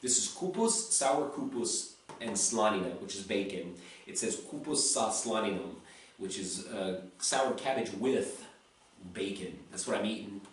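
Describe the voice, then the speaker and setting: A man's voice: lowish voice, animated, unhurried, background noise. An American man talking casually in a blunt, rambling vlog, swearing freely and a little tipsy. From USA. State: slightly tipsy. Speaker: vlogger. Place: home in Serbia. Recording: very echoey room, mic far off.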